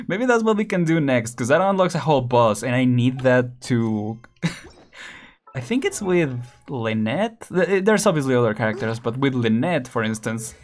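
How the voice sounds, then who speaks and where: A man's voice: medium pitch, very animated, moderate pace. Rambling man chatting on a game stream. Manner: rambling, with frequent fillers, restarts and laughs. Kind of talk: casual stream chat.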